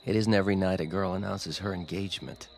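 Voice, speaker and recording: An actor playing an earnest, devoted and self-assured young fiancé in a radio drama, with a gravelly voice. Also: male voice, deep voice, steady tone, fast talker, soft background music. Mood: tender concern.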